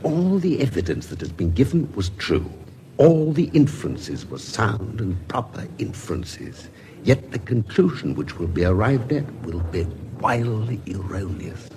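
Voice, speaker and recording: Deep-toned, scholarly doctor. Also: male voice, sing-song, measured pace. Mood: dryly analytical. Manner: pedantic, scholarly, precise. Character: erudite, dryly analytical male doctor of forensic learning. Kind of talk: radio drama acting. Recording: clear background ambience noise.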